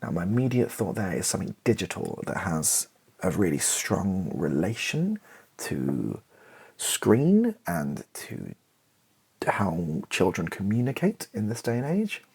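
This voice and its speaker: Low, breathy British man, ASMR musing. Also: huge pitch swings, a little slow. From Britain. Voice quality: soft, breathy, partly whispered. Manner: hesitant, thinking aloud in fragments. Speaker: ASMR video creator thinking aloud while writing. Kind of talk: ASMR, casual improvised monologue.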